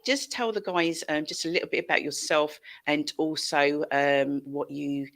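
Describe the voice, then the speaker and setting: Effusive woman host with a gravelly edge. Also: low-pitched, fairly even intonation, moderate pace, a bit bright. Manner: effusive, repeats phrases for emphasis. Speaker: show host. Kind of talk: casual livestream hosting. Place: small indoor room on video call. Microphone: headset.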